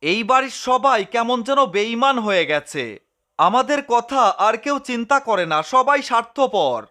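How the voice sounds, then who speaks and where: A man's voice: very high-pitched, lively intonation, measured pace, sharp tone, full character voice. A man voicing a whiny, melodramatic, hungry male cat in a cartoon dub. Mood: sad, self-pitying. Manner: melodramatic, exclaiming.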